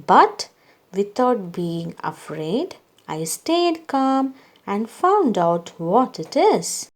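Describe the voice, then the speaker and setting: A woman reading a children's story aloud, acting out its dialogue in a deliberate way meant for young listeners. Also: mid-range voice.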